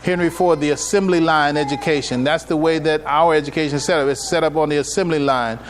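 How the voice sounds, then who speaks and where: A man's voice: fairly high pitch, steady tone, quick pace. An American man giving an informal religious lecture to a congregation as an imam, conversational and folksy, often checking in with rhetorical questions, recorded live in a noisy room. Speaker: imam giving a public address and taking questions. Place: live gathering at a mosque, speaking to attendees.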